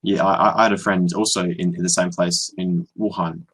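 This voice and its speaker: A young Australian man on a video call, tutoring in a relaxed, hesitant way with many ums and restarts. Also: low-pitched, brisk, breathy edge, low-quality recording. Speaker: online English tutor. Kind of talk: casual video-call conversation.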